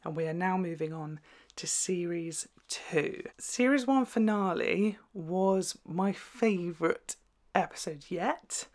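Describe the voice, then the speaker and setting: A young man giving casual, expressive reaction commentary, chatting to camera and exclaiming in short bursts. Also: high-pitched, gravelly. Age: young adult. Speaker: reaction video host. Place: small indoor room at home.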